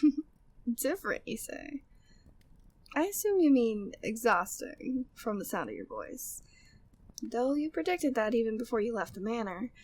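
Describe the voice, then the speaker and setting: High-voiced flirty maid played by a woman. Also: big pitch swings, measured pace, pauses often, husky, full character voice. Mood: curious, playful. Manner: affectionate, teasing, formal period wording. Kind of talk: ASMR roleplay audio drama.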